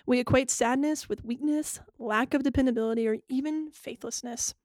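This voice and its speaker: A woman's voice: sits high, normal speed, a little mellow, no room sound, clean studio sound. A young woman co-hosting a faith podcast, laughing easily and explaining things step by step. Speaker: podcast co-host. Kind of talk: casual faith podcast teaching.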